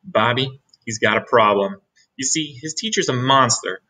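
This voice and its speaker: A middle-aged man reading a children's picture book aloud expressively, with dramatic pauses. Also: medium pitch, bright, forceful tone.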